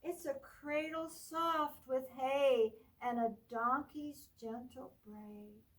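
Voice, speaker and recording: A woman librarian reads a picture book to children in a slow, gentle sing-song voice, her lines often sinking to a hush. Her voice sits fairly high. She is recorded from a distance, with faint noise in the background. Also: fairly even intonation. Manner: gentle sing-song reading, dropping to whispers. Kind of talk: children's storytime read-aloud.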